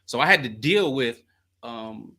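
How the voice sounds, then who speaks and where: A man hosting a call-in advice show as a coach, talking in an animated, slangy storytelling way. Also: medium pitch, measured pace.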